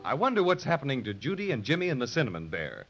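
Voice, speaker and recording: A man narrating a scripted 1930s children's radio serial in a dramatic, expressive style. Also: medium pitch, fast, loud music behind the voice. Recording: dull, lo-fi 1930s radio transcription.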